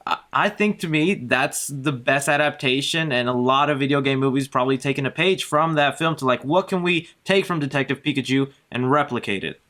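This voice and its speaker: Guy on a movie podcast as a guest, bright crisp-edged voice, chatting casually. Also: medium pitch, a little fast, hardly any pauses. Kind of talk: casual film discussion.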